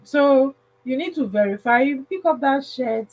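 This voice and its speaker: A woman's voice: high-pitched, lively intonation, moderate pace, highs cut off. A woman hosting a casual livestream advice talk, speaking emphatically and repeating phrases for stress. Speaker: livestream host.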